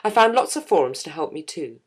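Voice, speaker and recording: Middle-aged woman, very low voice, dry humorous read of her own blog. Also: very animated, brisk, slightly rough. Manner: wry, self-mocking humour. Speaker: jazz singer and podcast host.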